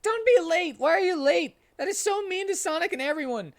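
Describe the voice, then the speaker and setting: A man voicing an excitable young cartoon fox who screams his outrage in a comic dramatic reading. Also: extremely high pitch, brisk, pitched above the performer's own voice. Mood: screaming outrage. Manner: screaming outbursts.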